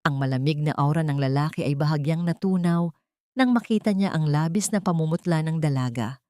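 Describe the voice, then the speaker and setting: Low-pitched woman narrating romantic drama. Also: fairly quick, warm tone, no echo, clean studio sound.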